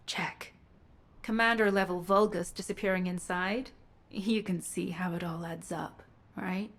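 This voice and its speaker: A woman playing a brisk, businesslike covert operative in a video game, clipped, confident and matter-of-fact. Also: mid-range voice, natural voice. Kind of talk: video game dialogue acting.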